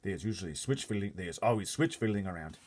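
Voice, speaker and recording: Big kindly storybook giant played by a man: husky, emphatic, folksy, with mangled grammar and a swooping delivery. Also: low-pitched, animated, fast talker, darkish tone. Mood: eager, explaining. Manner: muddled grammar and made-up words, emphatic. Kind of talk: storybook character voice.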